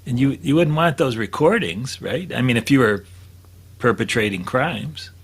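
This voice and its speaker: A man's voice: medium pitch, lively intonation, moderate pace, husky. A man on a podcast panel, talking casually and thinking aloud as he goes. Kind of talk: casual chat.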